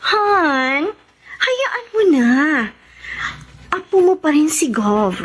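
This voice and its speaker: A woman acting a soft-hearted, hesitant young escort in a Filipino radio drama, with a coaxing manner. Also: sits high, big pitch swings, drawn-out words, a little hiss or hum, heavily acted. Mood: soothing, coaxing.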